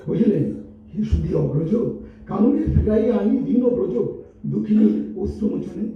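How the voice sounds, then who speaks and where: A man's voice: high-pitched, animated, normal speed. A man playing a humble, wily wandering minstrel, pleading theatrically in rhyming verse in a stage audio drama. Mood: theatrical reporting. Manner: theatrical, supplicating. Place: multipurpose hall stage, live performance. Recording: echoey hall, distant muffled mic, background noise.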